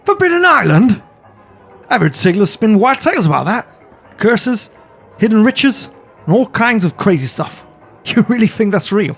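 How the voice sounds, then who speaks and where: A man voicing an excitable young helicopter pilot who talks eagerly and loudly. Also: sits high, normal speed, long pauses, breathy edge, faint music behind, voice pushed up, full character voice. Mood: excited. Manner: boisterous, eager, shouting with excitement.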